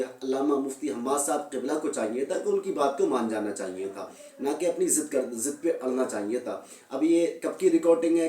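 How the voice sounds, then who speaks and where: A man's voice: mid-range voice, warm tone. A man giving informal religious commentary and admonishment, earnest and repeating his phrases for emphasis. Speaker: Islamic religious commentator addressing viewers. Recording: echoey room, distant microphone.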